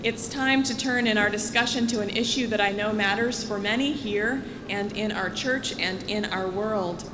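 A young woman gives a formal convention address through podium microphones. Her voice rings with long hall reverb over clear background noise. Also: mid-range voice, normal speed, few pauses. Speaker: convention speaker.

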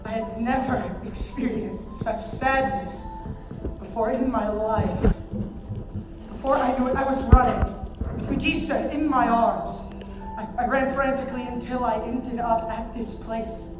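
A man's voice: very high-pitched, fairly even intonation, a little slow, noisy background. A young man playing a reflective, devoted father, telling his story earnestly in a stage play. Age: young adult. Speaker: amateur stage actor. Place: theater stage. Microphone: far audience camera. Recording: distant echoey theater hall, music behind.

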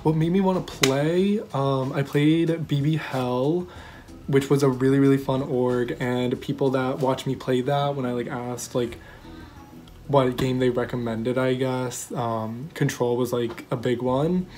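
A man's voice: mid-range voice, steady tone, unhurried, darkish tone. A young man giving a joking, self-mocking introduction as a game contestant on a webcam in his bedroom. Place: bedroom at home.

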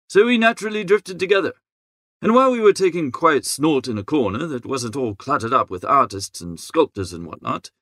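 A man acts out a breezy young idle gentleman narrating his own storybook tale. The voice is fairly high and swoops in a very lively way, chattering in jaunty old-fashioned slang. The recording is close and dry. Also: no echo. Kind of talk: scripted first-person audiobook narration.

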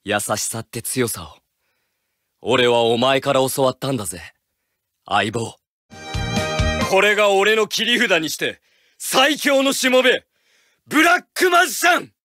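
A man's voice: a little high, sing-song, measured pace, long pauses. A man voicing a confident teenage card duelist for a video game, dramatic and declamatory. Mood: tender, solemn, then triumphant. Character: cocky confident teenage boy card duelist with regal bearing. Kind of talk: video game character voice clips. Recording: game music behind.

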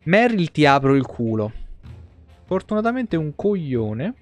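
A man's voice: fairly high pitch, sing-song, a little slow. A man in his thirties casually commenting on a game live, in slangy speech with free swearing. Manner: crude, slangy, swears freely. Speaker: game streamer. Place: small room at home, streaming setup. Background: game music.

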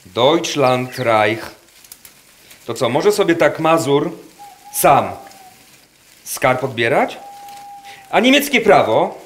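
Young German student, nervous, curious, slow halting delivery, animated pitch, radio play. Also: male voice. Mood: curious, sceptical. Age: young adult. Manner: hesitant, halting, mixing in German words. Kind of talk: theatrical radio drama dialogue. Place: performed live in a wooden barn.

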